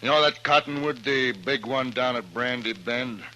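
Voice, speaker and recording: A man's voice: mid-range voice, normal speed, close to an everyday voice. A man playing a blunt, hot-tempered, stubborn Western rancher father in a 1950s radio western. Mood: grim. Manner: blunt, forceful, folksy Western speech. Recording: noisy old 1950s radio recording.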